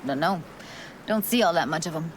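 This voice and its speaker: An actress playing a wry, guarded young American street techie in a video game, with slangy, clipped talk. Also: female voice, low voice, lively intonation, fairly quick, slight background noise. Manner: slangy, clipped, teasing, breaking into breathy asides. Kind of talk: video game dialogue acting.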